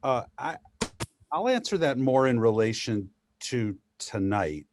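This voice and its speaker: A man's voice: mid-range voice, big pitch swings, measured pace, slight background noise. A man, an actor, speaking effusively and casually in a discussion over a video call. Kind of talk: casual post-show discussion. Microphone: laptop video call.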